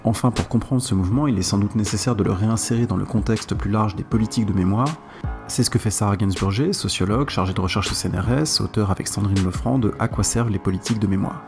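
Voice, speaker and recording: A male host reads a script at a fast clip. His voice sits fairly low and has a gravelly creak. Music plays clearly behind him. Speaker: podcast host introducing guests. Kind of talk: scripted narration.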